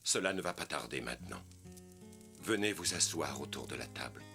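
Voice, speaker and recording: A man voicing a resolute, solemn prophet in an animated film, speaking gravely and earnestly for his people. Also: a bass voice, moderate pace, over music, heavily acted.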